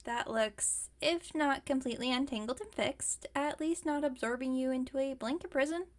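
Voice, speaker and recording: Casting: female performer for young werewolf roommate in comfort ASMR, higher-pitched, teasing and caring, rambles through jokes, gently bossy. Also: moderate pace, lightly acted. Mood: wry, caring. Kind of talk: ASMR roleplay, intimate comforting monologue.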